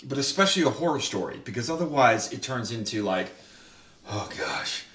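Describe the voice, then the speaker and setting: A man's voice: medium pitch, lively intonation, moderate pace, fairly bright tone, distant mic. Male host, chatty questioner, roomy room, off mic. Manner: chatty, asks questions, many restarts. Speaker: writer-director and host. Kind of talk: casual roundtable chat.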